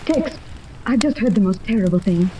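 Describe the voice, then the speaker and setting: A woman's voice: medium pitch, animated, moderate pace, close to an everyday voice. A woman playing an anxious, tender-hearted captive heroine in a 1930s radio serial, speaking with earnest, theatrical worry. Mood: distressed. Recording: noisy vintage 1930s radio recording.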